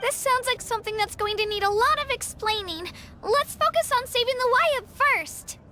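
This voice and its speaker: A woman's voice: animated, normal speed, pushed and bright, a little hiss or hum, full character voice. A woman playing a small, brave and humble young girl in a video game, earnest and sincere, with a childlike voice. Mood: determined. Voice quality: high, childlike. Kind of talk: dramatic video game acting.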